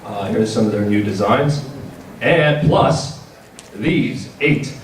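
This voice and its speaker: A man giving a convention panel presentation as a game publisher's presenter, speaking in a halting, hesitant way. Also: on the low side. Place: large indoor convention panel room. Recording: echoey convention hall, distant mic, audience noise. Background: audience crowd.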